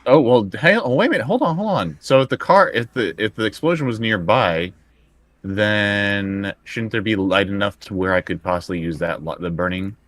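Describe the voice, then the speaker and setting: A man's voice: lowish voice, moderate pace, fairly bright tone, no echo. A man chatting casually as a tabletop roleplaying player, thinking aloud and working through his choices bit by bit.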